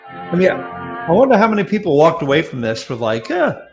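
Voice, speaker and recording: Male comedy panelist: mocking quips, lively inflection, gravelly edge. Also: on the high side, brisk, soft background music. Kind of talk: joking live commentary.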